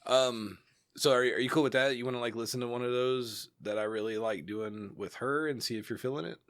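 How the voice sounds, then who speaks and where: A man's voice: mid-range voice, a bit bright, no room sound, studio quality. A man co-hosting a podcast, rambling through ideas and teasing his co-host in casual chat. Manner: rambling, teasing, quick to laugh.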